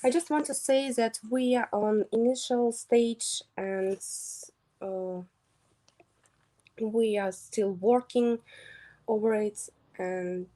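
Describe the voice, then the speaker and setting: A young woman with a Kazakh accent, speaking English as a second language as she presents a project on a video call, halting with many fillers. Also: fairly high pitch, dark tone, rough recording.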